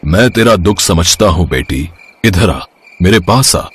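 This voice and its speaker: A man voicing a grave, calm old village sage who speaks slowly and solemnly in an animated moral story. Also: sits low, slightly rough, full character voice. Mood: gentle, consoling. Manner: slow, solemn, drawn-out delivery.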